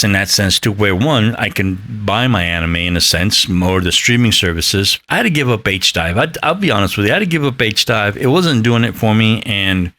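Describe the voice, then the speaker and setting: A man's voice: fairly low pitch, sharp tone, no room sound. A man in his fifties hosting a podcast, rambling in a casual, profane and emphatic way. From Mexican heritage. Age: 50s. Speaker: podcast host. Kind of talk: casual solo podcast talk.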